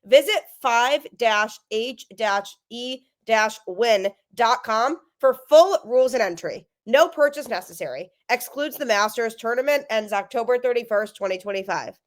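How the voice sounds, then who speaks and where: A young woman with a slightly high voice runs her sports podcast solo. She sounds stuffy from a cold but keeps her delivery energetic and emphatic. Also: normal speed, few pauses. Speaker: podcast host.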